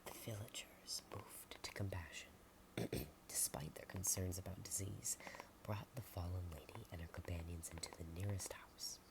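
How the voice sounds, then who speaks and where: A woman reading a storybook in a soft whisper, adding chatty asides and sometimes stumbling over words. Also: extremely low pitch, normal speed, low-quality recording.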